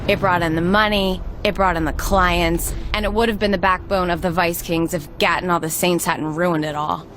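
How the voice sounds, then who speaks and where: Low-pitched, proud crime madam played by a woman. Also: steady tone, normal speed, background noise, lightly acted. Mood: proud, resentful. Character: proud, ruthless, resentful female crime madam. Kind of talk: video game cutscene acting.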